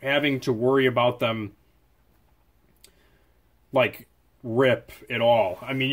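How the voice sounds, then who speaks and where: A man giving a casual, off-the-cuff product review at a desk, often correcting himself as he goes. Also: medium pitch. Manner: chatty, off-the-cuff, with self-corrections. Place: indoors at a desk.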